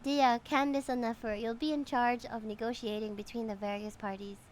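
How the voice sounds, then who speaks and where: Fairly high-voiced woman streamer. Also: a little fast, a little hiss or hum. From Philippines. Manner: animated asides and playful fan remarks. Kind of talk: reading game dialogue aloud with reactions.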